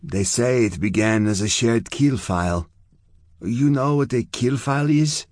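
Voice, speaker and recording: A man's voice: mid-range voice, a little slow, a little mellow, no echo, clean studio sound, voice pushed up, lightly acted. A man voicing a fierce, foul-mouthed young gang girl with an intense, theatrical delivery. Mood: explaining. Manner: intense, theatrical, non-native phrasing.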